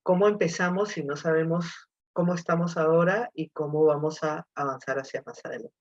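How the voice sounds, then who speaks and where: A woman's voice: sits low, steady tone, a little fast, no echo. Brief: female panelist, hesitant and thoughtful, dry muffled call sound. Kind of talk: webinar panel talk.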